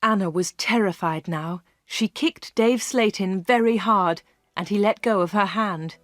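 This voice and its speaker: Clear measured woman narrator. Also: medium pitch, dry recording, studio quality. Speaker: audiobook narrator. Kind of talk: scripted story narration for English learners.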